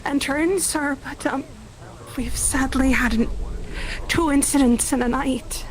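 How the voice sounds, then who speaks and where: Woman playing a high-voiced, timid townswoman. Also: slight background noise, poor audio. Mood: timid, flustered. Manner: hesitant, halting, deferential. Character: timid, apologetic, polite young townswoman. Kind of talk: live improvised roleplay acting.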